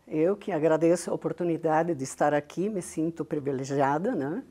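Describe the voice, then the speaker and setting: A woman's voice: deep voice, moderate pace, breathy edge. Older woman with a heavy Italian-Venetian-tinged southern Brazilian accent. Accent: Italian-Venetian-influenced Brazilian Portuguese from Rio Grande do Sul. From southern Brazil (Rio Grande do Sul). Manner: warm storytelling, frequent tag questions. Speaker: guest, business founder. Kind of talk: interview.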